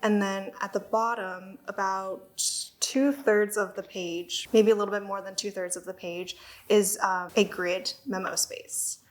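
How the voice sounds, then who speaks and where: A woman from Taiwan co-hosting a casual stationery unboxing, calmly walking through planner layouts with fillers. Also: mid-range voice. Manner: explanatory, measured walkthrough with fillers. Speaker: stationery shop co-host. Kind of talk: casual product unboxing chat.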